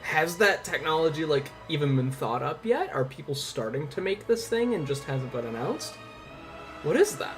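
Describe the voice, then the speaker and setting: A man's voice: fairly high pitch, very animated, moderate pace, a bit bright. Rambling young man chatting over music. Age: 20s. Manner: rambling, informal with swearing. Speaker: livestream host. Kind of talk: casual livestream chat with viewers.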